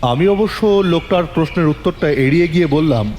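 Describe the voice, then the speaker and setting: A man narrating a detective story in the first person, in a measured, suspenseful storytelling manner. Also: fairly high pitch, lively intonation, a little mellow, big-room sound, slight background noise.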